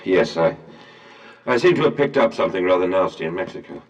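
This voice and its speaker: An actor playing a proud, bitter and obsessive visionary industrialist in a period video game, with grand oratorical delivery. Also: male voice, deep voice, lively intonation, a little fast, dull audio. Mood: courteous, controlled. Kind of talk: scripted game voice acting, period diction. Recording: distant, long hall reverb.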